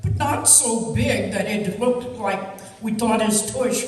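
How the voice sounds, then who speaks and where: A woman's voice: a little high, slow pace, a little mellow. A woman acting a folksy, self-satisfied choir lady in an amateur radio theatre comedy. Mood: proudly boastful. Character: Jinx, folksy boastful choir lady, proud measurer. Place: college radio theatre performance in a hall. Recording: echoey hall, recorded from far off.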